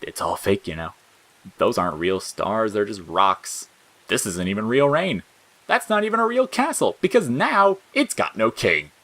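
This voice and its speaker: A man's voice: lowish voice, big pitch swings, moderate pace, fairly bright tone, voice pushed up, full character voice. Man as spiteful monster flower, audio drama: sarcastic, bored, snappish, voice lifted above his natural register. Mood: contemptuous, irritated. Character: sneering bitter talking flower, spiteful bored male monster. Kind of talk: audio fiction character acting.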